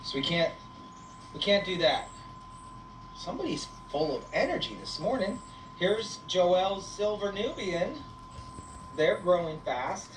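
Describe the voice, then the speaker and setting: A woman's voice: fairly low pitch, expressive, unhurried, pauses often. A woman hosting a casual livestream on a goat farm, playfully teasing and chatting to viewers and animals. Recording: echoey, noisy farm livestream, distant mic.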